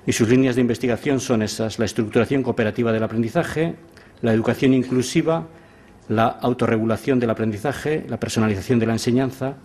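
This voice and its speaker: A man moderating an event who formally introduces the guest speaker, pausing often on filler sounds. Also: medium pitch, steady tone, a little mellow. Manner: formal, with frequent filler hesitations.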